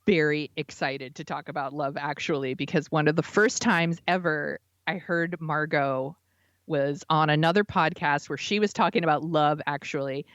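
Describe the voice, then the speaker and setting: A woman's voice: low voice, normal speed, a bit bright, no room sound, muffled recording. A woman co-hosting a podcast in casual chat, with an informal, enthusiastic manner. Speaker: podcast co-host.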